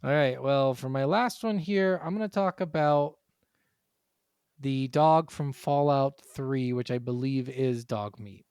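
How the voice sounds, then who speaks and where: A man's voice: medium pitch, measured pace, darkish tone, smooth, dry recording, clean studio sound. A man co-hosting a podcast, talking about video games in an informal, conversational way with some swearing. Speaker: podcast co-host. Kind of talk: casual gaming chat.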